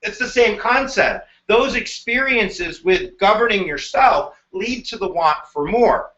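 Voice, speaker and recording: A middle-aged man teaches history live, his high voice bright and playful. He slips into slang and jokes as he goes. The sound is dull and boxy, with the echo of a bare room. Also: expressive, unhurried. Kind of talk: energetic live-stream lesson.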